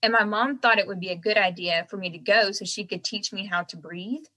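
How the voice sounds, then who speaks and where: A young woman with a Southern American accent, chatting as a guest. Her voice is bright and clear, and she tells her stories with animated energy and frequent laughter. She sits at a middle pitch. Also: a little fast. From Southern United States. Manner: animated storyteller who laughs often. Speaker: guest singer-songwriter. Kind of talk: casual interview chat.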